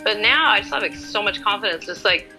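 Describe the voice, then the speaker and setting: A woman's voice: mid-range voice, animated, a little fast, sharp tone, soft background music, poor audio. A woman in her forties answering as an interview guest in a casual, chatty, informal and self-deprecating way. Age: 40s.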